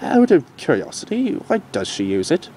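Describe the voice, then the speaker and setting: A man voicing a puzzled, earnest male Time Lord in an amateur cartoon fan-fiction reading, questioning and halting. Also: on the high side, wildly swooping pitch, mellow, a little hiss or hum, pitched above the performer's own voice. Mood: surprised.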